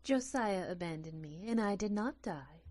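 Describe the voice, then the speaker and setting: A woman playing a delicate glass sylph in an audio drama, speaking in a formal, measured, matter-of-fact way. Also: mid-range voice, lively intonation, darkish tone, close to an everyday voice. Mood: calm, matter-of-fact. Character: delicate glowing female glass sylph, calm and earnest.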